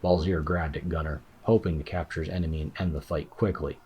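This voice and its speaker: Deep, husky male audiobook narrator. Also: fairly even intonation, a little fast, dull audio. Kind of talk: scripted fantasy narration.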